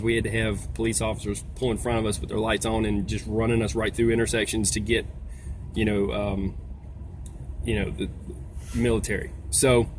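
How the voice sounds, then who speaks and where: A man giving a casual, folksy explainer as a vlog host, talking in a rambling way. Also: on the low side. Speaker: video host, trucker. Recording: noisy, vehicle-like background sound.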